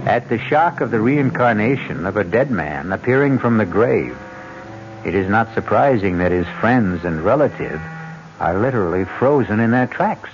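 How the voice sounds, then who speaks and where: A man's voice: fairly low pitch, animated, moderate pace. A man hosting and narrating a radio drama in a wry, grandiloquent, theatrical way. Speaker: host and narrator. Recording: muffled old radio recording. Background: music.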